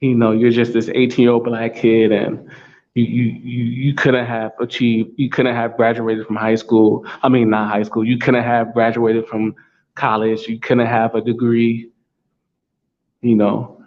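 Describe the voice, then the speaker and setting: A man's voice: medium pitch, monotone, a little slow. Casting: American man, solo talk host, emphatic and repetitive, mid-range voice, slightly dull lo-fi audio with faint background hum. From United States. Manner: repetitive, emphatic, restating points. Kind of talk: solo monologue.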